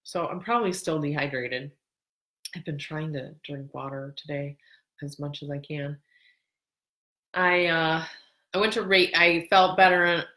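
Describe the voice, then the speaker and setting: A woman's voice: sits low, a bit bright. A middle-aged woman hosting a casual solo podcast, talking in a rambling, self-correcting way, with a stuffy, congested nose. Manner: rambling, self-correcting, laughs now and then. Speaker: podcast host.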